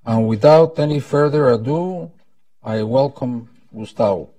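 A man's voice: mid-range voice, measured pace, warm tone, no room sound, recorded from a distance. A man in his seventies with a Costa Rican Spanish accent, giving a formal, solemn welcome speech as event host. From Costa Rica. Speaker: event host, former ambassador. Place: indoor conference room at a lectern. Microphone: lapel mic.

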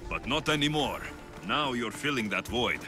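An actor playing a compassionate young Greek mercenary in a video game, speaking in an earnest, measured, plain way. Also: male voice, mid-range voice, lively intonation, lightly acted. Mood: encouraging. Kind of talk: video game dialogue acting. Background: game music.

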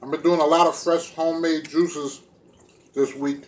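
Man, middle-aged, somewhat high pitch, casual food vlog, laid-back, playful, colloquial, recorded indoors at home on a nearby camera. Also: little pitch movement, normal speed. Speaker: vegan food vlogger. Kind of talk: casual chat to camera while eating. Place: small room indoors at home. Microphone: phone or camera propped within arm's reach.

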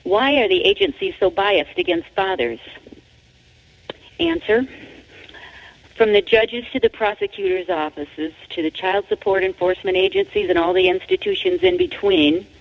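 A woman author reading their own book aloud as scripted narration, in a deliberate, emphatic manner. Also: medium pitch, big pitch swings, vocal fry. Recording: dull, lo-fi audio.

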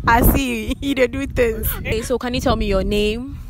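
A woman's voice: on the high side, fairly even intonation, moderate pace. Bubbly young Ghanaian woman vlogger. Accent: Ghanaian English. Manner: bubbly, teasing, laughs often. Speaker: vlog host and street interviewer. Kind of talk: casual street interview. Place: outdoor university sports court. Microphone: handheld mic. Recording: outdoor noise behind.

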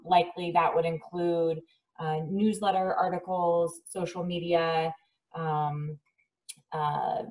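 A woman speaking as an agency staff member, explaining plans in a measured, procedural way over a remote meeting call. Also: fairly low pitch, a little mellow. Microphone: phone or video call. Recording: distant-sounding remote call audio.

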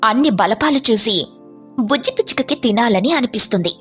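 A woman's voice: on the high side, expressive, brisk, fairly bright tone. A woman storyteller reading a scripted children's story narration. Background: music.

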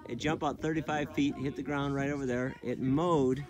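A man's voice: medium pitch, fairly even intonation, moderate pace, a crowd in the background. A man casually narrating an outdoor camping vlog on a handheld camera, often exclaiming in amazement. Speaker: narrator of a family camping trip. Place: outdoors on a forested mountain.

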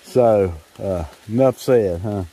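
Guy doing a hiking vlog, real slow and rambly, kinda wry, stops and starts, outside in the woods. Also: on the low side, dark tone, slight background noise, poor audio. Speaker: hiking vlogger. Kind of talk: casual trail narration. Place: outdoors on a forest trail in rain.

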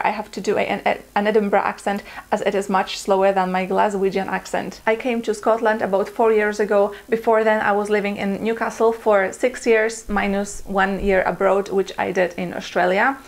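Polish woman in her twenties, chatty vlogger. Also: medium pitch. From Poland. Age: 20s. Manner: chatty, laughs often, many ums and uhs. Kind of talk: casual Q&A vlog. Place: living room indoors.

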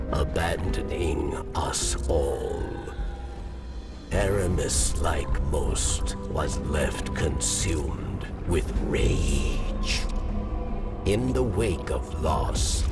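An actor playing a grave, sorrowful old alien storyteller in a video game, with solemn, deliberate pauses and a gravelly voice. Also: male voice, rumbling low, distant mic, heavily acted. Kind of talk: scripted cinematic game narration. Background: loud cinematic score.

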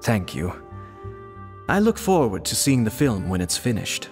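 An actor voicing a courteous, composed young nobleman in a video game, speaking in a formal, measured way. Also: lowish voice, sing-song, long reverb tail, music in the background. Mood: polite, calm.

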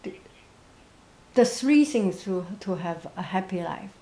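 A woman who is not a native English speaker, answering interview questions reflectively in a quiet, monotone voice with a gentle, halting manner. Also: mid-range voice. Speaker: interviewee, painter and teacher.

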